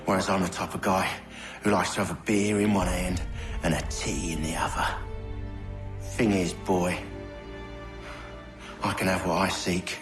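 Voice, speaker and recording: A coarse, mercenary game villain acted by a man speaks in a deep, unhurried voice. He is blunt and scornful, taunting whoever listens. Music plays beneath the echoing voice. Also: flat delivery, lightly acted. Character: cynical, coarse, condemned villain, a greedy hired conspirator. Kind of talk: dramatic video game acting. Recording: echoey, music underneath.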